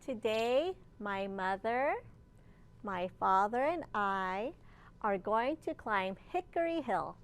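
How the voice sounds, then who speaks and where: A woman librarian reading a picture book aloud for young children, with deliberate phrasing and questions put to her listeners. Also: medium pitch, animated.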